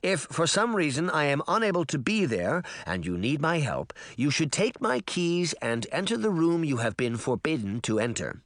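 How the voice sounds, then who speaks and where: A man's voice: on the high side, big pitch swings, normal speed, few pauses, a bit bright, no echo, studio quality, pitched above the performer's own voice. A man voicing a kindly old grandmother's reassuring letter in a children's audiobook.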